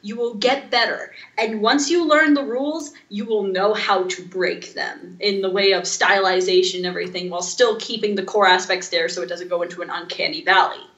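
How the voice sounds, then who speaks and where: A woman's voice: medium pitch, steady tone, a little fast, hardly any pauses, a bit bright, echoey room, lo-fi sound. A woman chatting casually as a podcast co-host. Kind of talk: casual chat.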